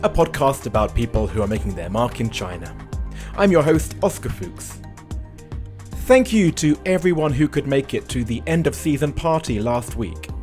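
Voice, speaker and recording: A man hosts his podcast in a voice of ordinary middle pitch. He talks with dry, self-deprecating humor and a light touch. Faint music sits under his words. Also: sing-song, normal speed, darkish tone. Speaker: podcast host. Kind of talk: podcast intro and interview chat. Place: Shanghai.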